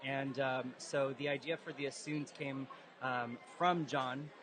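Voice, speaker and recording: A man's voice: medium pitch, steady tone, a little slow. A man answering hesitantly with many fillers as a guest in an interview at a live event hall. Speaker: guest, dance group organizer. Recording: noisy live event recording.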